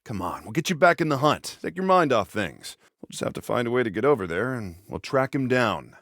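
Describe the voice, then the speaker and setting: An actor playing a protective adult American cop in a video game, sarcastic and exasperated, with a dry conversational manner. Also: male voice, mid-range voice, very animated, a little fast, fairly bright tone, no room sound. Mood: steady, encouraging. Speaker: police officer character in a video game.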